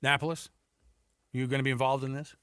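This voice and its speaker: A man's voice: mid-range voice, level tone, fast talker, sharp tone, clear, resonant tone, no room sound, clean studio sound. A man hosting a sports radio show with casual, teasing banter. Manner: playful, teasing.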